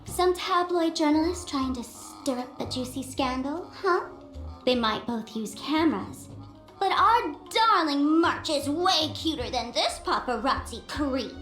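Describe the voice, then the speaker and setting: A sly young female trickster from an anime-style game. Her voice is high and animated, coy and theatrical, with a roomy sound and soft music underneath. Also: unhurried. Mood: sly, amused.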